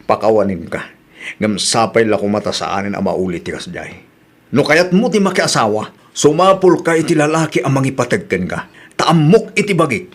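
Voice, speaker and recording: A man's voice: mid-range voice, very animated, moderate pace. A man with a Filipino Ilocano accent playing a stern, exasperated widowed father in a drama. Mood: firm, reproachful. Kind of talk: Ilocano radio-style drama acting.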